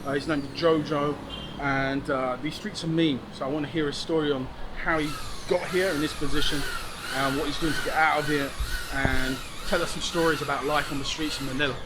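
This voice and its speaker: A man hosting a travel vlog and interviewing someone outdoors, speaking in a relaxed, slangy way. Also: a little high, a little fast. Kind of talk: casual street interview. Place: city street outdoors. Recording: outdoor street recording with traffic. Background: traffic noise.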